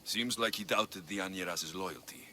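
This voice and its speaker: An actor playing a confident, plain-spoken mercenary hero in a video game, steady and reassuring. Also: male voice, sits low, normal speed. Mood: resolute. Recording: long hall reverb, faint noise.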